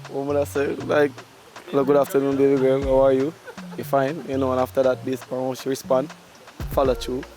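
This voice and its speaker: A man's voice: mid-range voice, monotone, fairly quick, music in the background, low-quality recording. A man giving casual, unscripted answers in a street interview. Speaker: vox pop interviewee.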